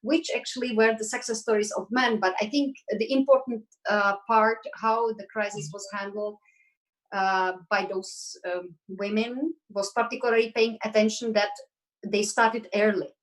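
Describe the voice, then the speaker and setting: A woman's voice: a little high, steady tone, normal speed, poor audio. A woman from the Czech Republic, speaking as a guest on an online panel discussion in an earnest, hesitant way with many fillers. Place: Belgium.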